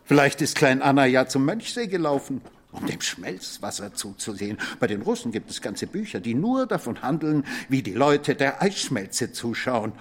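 A husky-voiced police commissioner in a radio drama. He questions his suspect with insinuating, theatrical slowness, stretching out pauses and swinging his pitch widely as he lays out his theory. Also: very animated. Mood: needling, mocking. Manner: theatrical, needling, drawn-out storytelling with pointed pauses. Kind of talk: German radio play acting.

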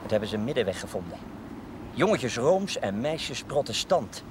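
An actor playing a playful, ardent young suitor in a television drama, teasing and eagerly insistent. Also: male voice, mid-range voice, sing-song, normal speed, noisy background, lightly acted. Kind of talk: 1970s Dutch television drama acting.

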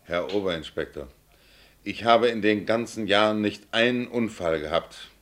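A man acts out a stubborn veteran tram driver in a radio play. His voice sits fairly low and he protests bluntly, full of indignation, in everyday colloquial words. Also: moderate pace, fairly bright tone, close to an everyday voice. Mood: protesting. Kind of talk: German radio play acting.

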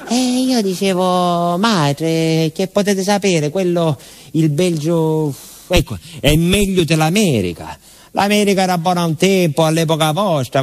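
A man's voice: medium pitch, natural voice. An actor playing a naive, affectionate village postman with a southern Italian accent, telling his story in a colloquial, confiding stage monologue. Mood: boastful, animated. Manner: colloquial, confiding, rambling, addresses a listener directly. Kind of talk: theatrical first-person narrative monologue.

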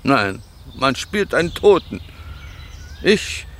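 An actor playing an addled, aggrieved composer in a radio drama, woozy from medication and rambling erratically from one question to the next. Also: male voice, mid-range voice, big pitch swings, slow pace, a bit bright, heavily acted. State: woozy from pills and injection. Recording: clear background noise, outdoor ambience.

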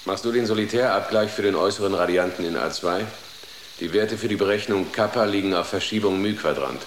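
An actor playing a matter-of-fact spaceship technician in a German radio drama, speaking in terse technical phrases. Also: male voice, lowish voice, a little fast, slight background noise, lightly acted. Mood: businesslike. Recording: roomy radio drama sound.

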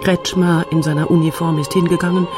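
Actress as stoic old grandmother over loud music. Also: lowish voice, little pitch movement, fairly quick, natural voice. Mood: grave, matter-of-fact. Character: stoic old grandmother recalling wartime survival. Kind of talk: radio drama monologue.

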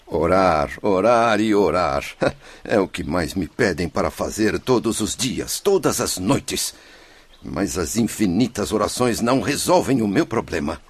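Deep-voiced anguished Brazilian father, swooping pitch. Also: expressive, breathy edge, no echo, full character voice. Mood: bitter, frustrated. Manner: pleading, emotional, drawn-out delivery. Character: anguished, stubborn spirit of a father, pleading for his family. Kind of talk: melodramatic radio drama acting.

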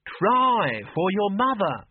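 Narrator voices a bossy self-important mother, raised pitch, muffled lo-fi sound. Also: big pitch swings, takes it slowly, slightly rough, no room sound, heavily acted. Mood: nagging. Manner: nagging, scolding. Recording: muffled phone-like audio, dry.

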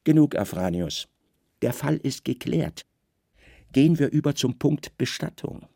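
A man playing an imperious Roman governor, lively and gravelly. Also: medium pitch, a little slow, no echo, studio quality. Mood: curt, sceptical. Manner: terse, imperious, questioning. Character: stern, commanding Roman governor, impatient and troubled. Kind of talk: literary radio drama.

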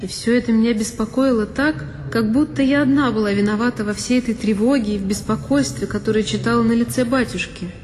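A woman actress giving an expressive, reflective narration in a one-woman audio play. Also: fairly high pitch, fast, hardly any pauses, a little hiss or hum. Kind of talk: scripted literary narration. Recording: long reverb with violin music. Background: solo violin.

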